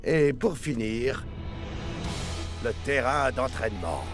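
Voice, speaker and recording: A man's voice: medium pitch, lively intonation, slow. A man playing a proud, upbeat football player in a cartoon dub, presenting like a showy tour guide. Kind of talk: animated cartoon dubbing. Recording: loud music under the voice.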